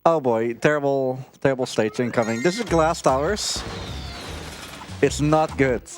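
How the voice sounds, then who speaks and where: A man's voice: mid-range voice, a little slow. A man giving casual, chatty, self-deprecating live commentary while speedrunning a video game. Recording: live marathon stream with game audio. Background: game music.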